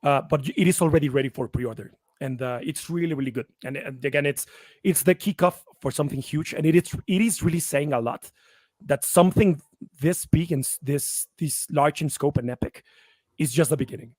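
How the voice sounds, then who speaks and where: A man being interviewed as a voice actor and writer, friendly and halting, often restarting his sentences. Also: fairly high pitch, darkish tone, dry recording. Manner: friendly, halting, many restarts, laughs easily. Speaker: voice actor and comic writer guest. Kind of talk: casual interview chat.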